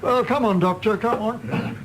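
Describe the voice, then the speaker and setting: An older man with an English accent playing a genial, doddery old colonial gentleman in a radio drama, rambling and grumbling. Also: high voice, sing-song, unhurried, creaky, background noise, exaggerated voice. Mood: wry, resigned. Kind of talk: stage-play radio drama acting.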